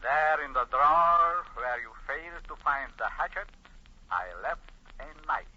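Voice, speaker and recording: An actor playing a cruel, gloating villain in an old-time radio horror play, menacing and deliberate. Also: male voice, a little high, bright, forceful tone. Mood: gloating menace. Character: cruel gloating villain foretelling a victim's madness. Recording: muffled old radio, music behind.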